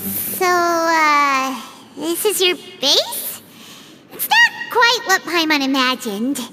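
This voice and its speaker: Tiny game fairy sidekick, extremely high squeaky childlike voice, swooping and chatty, music behind. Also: female voice, slow, full character voice. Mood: curious, sympathetic. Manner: childlike, expressive, speaks of self in third person. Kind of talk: video game cutscene voice acting.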